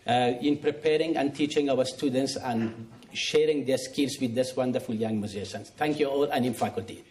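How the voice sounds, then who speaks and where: A man's voice: medium pitch, normal speed, darkish tone. Man speaking publicly from a stage. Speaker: music school director speaking on stage. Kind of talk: public thanks and question answering at a forum. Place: on stage at a live forum event.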